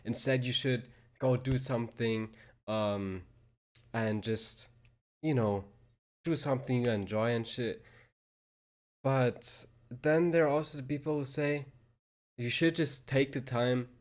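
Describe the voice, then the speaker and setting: A man's voice: mid-range voice, a little slow, darkish tone. A young man giving casual reaction commentary on a game, informal and thinking aloud.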